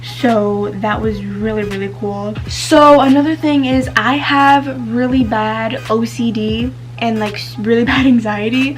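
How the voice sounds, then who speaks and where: A woman's voice: a little high, fairly even intonation, unhurried, hardly any pauses, faint music behind, poor audio. A teenage girl vlogging casually to camera, chatty and full of fillers, whose first language is Spanish. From United States. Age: teens.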